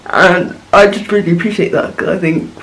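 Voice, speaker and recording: High-voiced man rambling about a film. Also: fairly even intonation, moderate pace, a bit bright, poor audio. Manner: rambling, searching for words, gushing. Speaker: vlogger reviewing a film. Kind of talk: casual film reaction talk.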